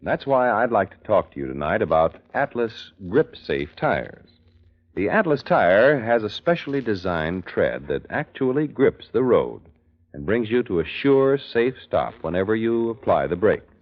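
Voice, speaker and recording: A man's voice: lowish voice, big pitch swings, unhurried. An American man delivering scripted announcements in a 1940s radio style. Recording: dull vintage radio recording. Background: music.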